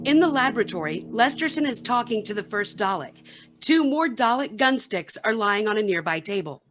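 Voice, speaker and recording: A woman's voice: mid-range voice, expressive, normal speed. A woman giving scripted narration for a radio play, reading out the action between scenes.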